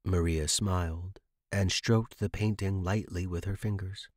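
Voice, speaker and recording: A man's voice: deep voice, steady tone, measured pace, darkish tone, no echo, clean studio sound. A man reading scripted first-person fiction as the narrator.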